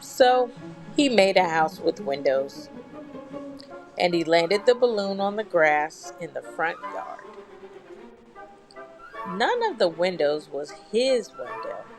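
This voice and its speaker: A woman reading a children's picture book at bedtime in a measured, expressive storytelling manner. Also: fairly low pitch, music in the background, poor audio. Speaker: storyteller, channel host.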